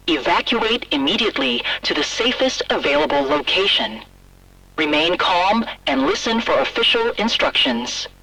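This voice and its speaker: A bright, crisp-edged voice with a gravelly creak delivers a scripted emergency alert. The delivery is formal and authoritative, with pitch that moves noticeably through each sentence. It sounds like a radio-style broadcast heard from a distance. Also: dry recording.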